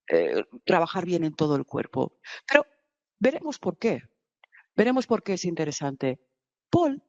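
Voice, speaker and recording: Woman doing live interpreting, kinda halting, rushes then slows, flat dull dry audio. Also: lowish voice, no echo. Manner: halting, with restarts and uneven bursts of speed. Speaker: simultaneous interpreter.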